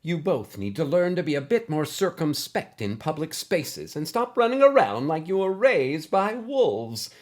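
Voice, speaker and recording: A man's voice: fairly high pitch, big pitch swings, a little slow, hardly any pauses, pitched above the performer's own voice. A man voicing a stern, prim elderly woman schoolteacher who scolds in formal, precise words. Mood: stern scolding. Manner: formal, reproving, precise diction.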